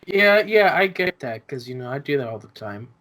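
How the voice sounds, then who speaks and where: A man's voice: a little high, very animated, normal speed, pushed and bright. A twenty-two-year-old man in a casual chat, speaking hesitantly and often repeating his words. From South America.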